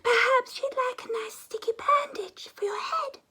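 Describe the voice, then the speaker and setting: A woman voicing a kind little princess in a storybook, with a breathy, plaintive sweetness. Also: extremely high pitch, normal speed, noisy background, pitched above the performer's own voice. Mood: sympathetic.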